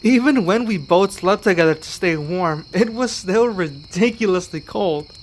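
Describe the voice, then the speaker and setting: A man's voice: high voice, expressive, normal speed, slight background noise. A man playing an earnest young werewolf learning magic in an ASMR roleplay, speaking to one listener with some hesitation. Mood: sheepish.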